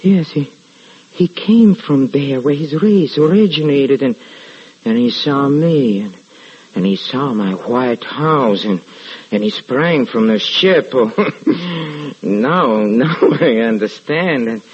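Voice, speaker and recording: An actor playing a haunted, paranoid man sliding into madness, halting and repeating himself in old-time radio horror style. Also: medium pitch, animated, darkish tone, breathy edge. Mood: agitated, obsessive. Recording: hissy, muffled old radio recording.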